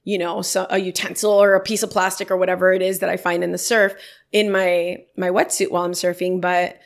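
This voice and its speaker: A woman's voice: lowish voice, level tone, fairly quick, vocal fry. A woman in her thirties, telling stories in a casual interview and livening them up when quoting people. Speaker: podcast guest.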